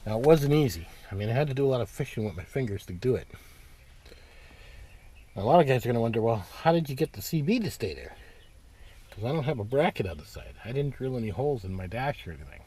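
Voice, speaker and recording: A man giving a casual, unscripted DIY walkthrough, explaining step by step in a plain-spoken, halting way. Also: medium pitch, lively intonation, dark tone, breathy edge. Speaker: DIY how-to presenter. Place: in or beside a parked pickup truck.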